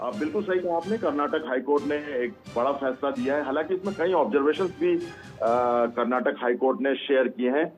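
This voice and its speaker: A man reporting live as a news correspondent, halting, with frequent hesitations and repeated words. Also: medium pitch, soft background music. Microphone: phone or remote link. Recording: narrow, phone-like line.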